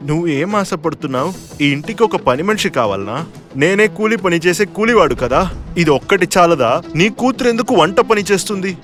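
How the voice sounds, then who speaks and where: A man voicing a weary, worried father, a poor cook, in an animated moral story. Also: high-pitched, a little fast, soft background music. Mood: disapproving.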